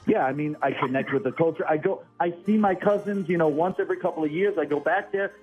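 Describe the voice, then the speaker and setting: A man's voice: fairly high pitch, muffled recording, soft background music. A man calling in to a radio show, insisting proudly and defensively on his point. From United States. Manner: insistent, proud, drawn-out emphatic delivery. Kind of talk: defensive phone-in chat.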